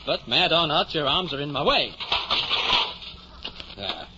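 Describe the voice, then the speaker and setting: An actor playing a practical, unimpressed mule driver, speaking bluntly in old-time radio style. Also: male voice, on the high side, animated, measured pace. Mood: dismissive. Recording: very noisy, muffled 1950 radio recording.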